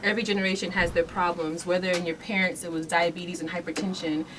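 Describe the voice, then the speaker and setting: Low-voiced woman explaining earnestly, noisy recording. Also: little pitch movement, fast talker. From Nigerian background. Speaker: interview guest, hair care company founder. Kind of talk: radio interview, explaining. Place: radio studio. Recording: noisy room, distant mic.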